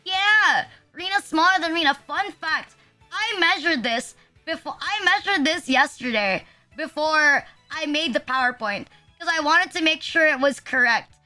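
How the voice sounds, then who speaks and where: A high, bright-toned young woman chats loosely on a livestream, her nose a little stuffed and sniffly. She rambles and jokes at her own expense, dropping swears along the way. Soft music plays faintly behind her. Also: animated, measured pace. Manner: rambling, casually profane, self-deprecating. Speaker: VTuber streamer. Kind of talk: casual livestream chat.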